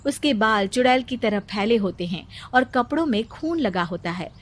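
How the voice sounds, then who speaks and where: High-pitched woman narrating a story. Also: lively intonation, moderate pace. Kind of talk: scripted narration of a moral-story cartoon.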